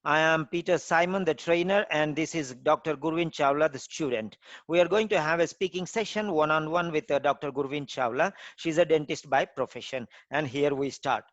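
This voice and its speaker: A man, an IELTS trainer, playing a formal examiner in a mock speaking test and asking measured questions. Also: on the high side, fairly bright tone, dry recording, muffled recording. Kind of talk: mock exam interview.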